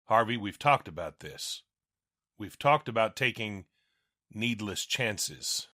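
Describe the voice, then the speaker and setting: Low, lively middle-aged police captain. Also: male voice, slow pace, no room sound, clean studio sound, full character voice. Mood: concerned. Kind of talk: animated comic voice acting.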